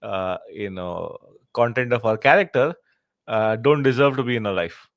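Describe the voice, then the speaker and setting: A man hosting a podcast, asking questions in a halting way with many restarts and fillers. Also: on the low side, dry recording. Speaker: podcast host. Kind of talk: casual interview questions.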